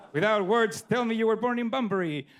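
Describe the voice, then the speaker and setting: Chilean guy doing standup, spanish accent, pretty high voice for a man. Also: very noisy. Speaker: stand-up comedian. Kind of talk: stand-up comedy on stage. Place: comedy club stage.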